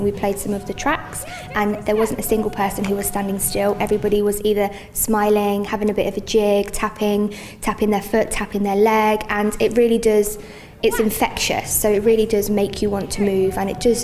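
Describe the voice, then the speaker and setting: A woman's voice: medium pitch, little pitch movement, a little fast, over music. A woman who leads dance sessions, explaining things in a documentary interview.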